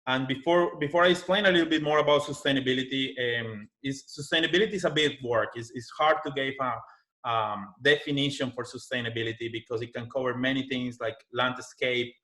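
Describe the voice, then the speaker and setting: A man from Colombia with a Colombian Spanish accent, a university sustainability manager giving a webinar talk in a halting way with restarts. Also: mid-range voice, bright, forceful tone. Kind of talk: webinar presentation.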